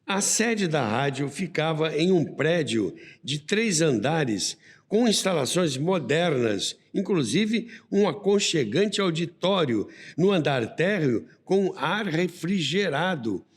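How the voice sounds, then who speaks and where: Reverberant, slow Brazilian male narrator. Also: a little high, sing-song, slightly rough. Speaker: host and narrator of a radio history show. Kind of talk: scripted documentary narration. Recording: long reverberant hall sound.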